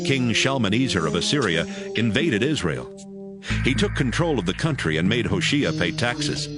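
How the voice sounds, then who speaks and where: Male narrator, lowish pitch, varied expressive intonation, scripted audio Bible reading, music bed. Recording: music under the voice.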